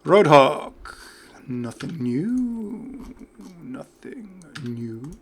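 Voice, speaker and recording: A man's voice: a little high, very animated, extremely slow, gravelly, slightly rough. A man giving casual, jokey commentary as a gaming video host. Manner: chatty, jokey.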